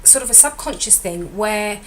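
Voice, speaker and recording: A middle-aged woman with a slightly croaky voice, giving a chatty, rambling diet vlog update as a weight loss consultant and often correcting herself. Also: medium pitch, animated, normal speed, a little hiss or hum.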